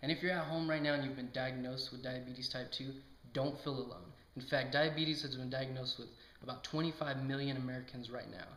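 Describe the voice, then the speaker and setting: A man's voice: mid-range voice, steady tone, fairly quick, few pauses, fairly bright tone, natural voice. A man playing a reassuring endocrinologist who explains things in a scripted educational interview. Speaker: doctor being interviewed. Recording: echoey room.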